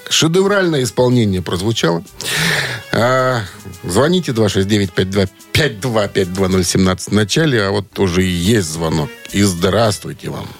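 A man's voice: lowish voice, expressive, normal speed, faint music behind. A man hosting a radio quiz in a casual, chatty, hesitant and informal manner. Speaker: radio show host running a music quiz.

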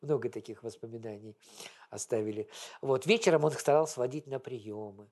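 A man's voice: mid-range voice, a little fast, darkish tone. A man giving an informal lecture on theatre history, talking in a rambling, digressive, conversational way full of anecdotes.

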